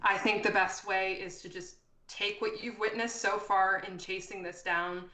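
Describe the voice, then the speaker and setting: A woman running a tabletop game as game master, improvising narration and rulings in a deliberate way as she thinks aloud. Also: medium pitch, steady tone, pushed and bright. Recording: roomy echo.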